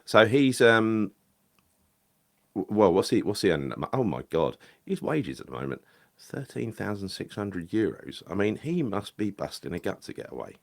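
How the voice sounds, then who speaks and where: A man's voice: lowish voice, sing-song, moderate pace, gravelly. A man talking casually about football, rambling and correcting himself with lots of fillers. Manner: rambling, hesitant, frequent fillers and self-corrections. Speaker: football podcast host. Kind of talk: casual solo football chat.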